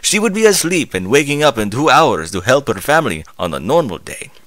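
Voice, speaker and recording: Guy reading fanfic out loud, very animated, dry sound, kinda mocking. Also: mid-range voice, a little fast, no room sound. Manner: mocking, incredulous interjections. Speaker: fan fiction reader. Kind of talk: dramatic reading with mocking asides.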